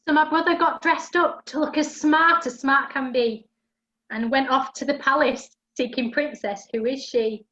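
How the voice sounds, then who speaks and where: A woman's voice: high-pitched, steady tone, normal speed, sharp tone, highs cut off. A woman playing a cheeky, outspoken sister in a rhyming pantomime. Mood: storytelling. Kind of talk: rhyming amateur pantomime acting and narrating.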